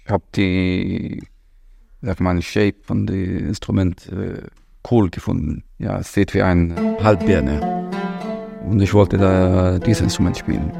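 Man, 30s, gravelly, halting German, music behind. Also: low voice, steady tone. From Palestine. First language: Arabic. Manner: halting, searching for German words. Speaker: musician interviewee. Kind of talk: interview answers.

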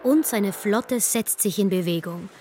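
A woman plays an archaeologist narrating a radio drama about antiquity. Her voice sits at a middle pitch in a scripted storytelling style, with music clearly heard behind it. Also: unhurried, darkish tone, close to an everyday voice. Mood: wry, vivid. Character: archaeologist telling an ancient king's story.

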